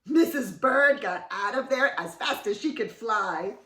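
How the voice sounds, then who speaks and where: A woman reading aloud to young children as host, expressive and dramatically emphatic, pausing to ask questions. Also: fairly high pitch. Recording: roomy echo, distant mic.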